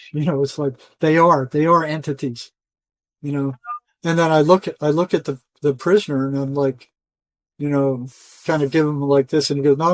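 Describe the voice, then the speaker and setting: An older man speaks in a somewhat high-pitched voice. He tells stories in a loose, rambling, colloquial way, as a guest in conversation. The sound is dry, with very little room echo. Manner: rambling, colloquial, acts out quoted dialogue. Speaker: interview guest, retired psychotherapist. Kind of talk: conversational storytelling, casual interview.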